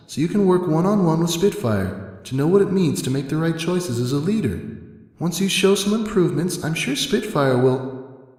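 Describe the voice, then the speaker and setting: Patient female pony princess for a storybook drama, read by a man: earnest, firm, caring, measured, raised pitch. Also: a little mellow, echoey room, in a large hall, close to an everyday voice. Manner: reasoning, measured. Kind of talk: dramatic fan fiction reading.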